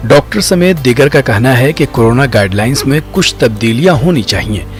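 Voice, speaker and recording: A man's voice: medium pitch, expressive, normal speed, faint music behind. A man reading scripted news narration in a formal register.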